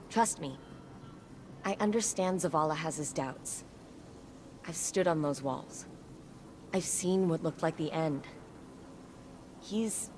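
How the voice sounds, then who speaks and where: Low-voiced earnest warrior scientist. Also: female voice, slight background noise. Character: earnest, loyal female warrior-scientist, defensive of her AI ally. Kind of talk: video game dialogue acting.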